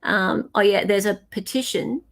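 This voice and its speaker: A woman's voice: medium pitch, normal speed. An Australian woman hosting a livestream in a chatty, informal way, effusive when she gives thanks. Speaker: livestream host. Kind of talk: casual livestream chat.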